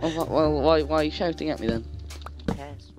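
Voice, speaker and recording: A man's voice: a little high, fairly quick, music in the background. A man bantering playfully with friends while gaming, swearing and goofing around. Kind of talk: casual gaming chat with friends.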